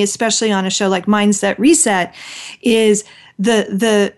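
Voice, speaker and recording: A woman's voice: mid-range voice, a little mellow. A woman hosting a casual interview show, chatty and full of restarts and fillers. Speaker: podcast host.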